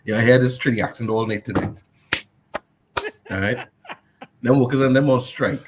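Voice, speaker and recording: A man's voice: medium pitch, normal speed, noisy background. A man co-hosting a podcast, talking through the news casually with jokes and fillers. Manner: laid-back, joking, with fillers. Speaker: podcast co-host presenting news. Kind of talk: casual chat.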